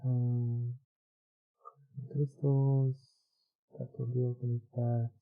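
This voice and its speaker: A man's voice: mid-range voice, monotone, takes it slowly. A man giving casual live gameplay commentary, rambling and thinking aloud. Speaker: game streamer. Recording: slightly dull streaming audio with music.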